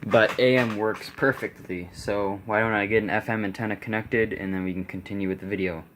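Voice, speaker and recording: A man giving a casual, unscripted demonstration, halting and offhand as he thinks aloud. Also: lowish voice, steady tone, fairly bright tone, a little hiss or hum. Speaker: video creator demonstrating a vintage radio.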